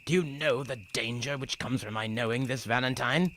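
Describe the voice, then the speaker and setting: A man's voice: medium pitch, moderate pace, a bit bright, slight background noise, natural voice. A man playing a stern but anxious guard and protective father in an audio drama, blustering in old-fashioned phrases. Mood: alarmed, flustered. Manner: blustering, stammering when troubled, archaic phrasing.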